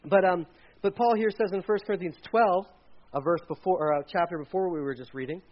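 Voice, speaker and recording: A man's voice: high voice, a little fast, highs cut off. A man preaching a sermon with slides, talking casually, starting sentences over, and acting out the people in the scripture he retells. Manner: conversational, retells scripture with acted quotes and restarts. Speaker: pastor.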